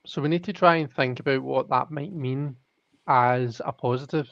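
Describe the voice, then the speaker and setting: A man's voice: medium pitch, normal speed, fairly bright tone, no room sound. A man hosting a live tarot stream, thinking aloud in casual chat with many restarts. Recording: dull lo-fi audio.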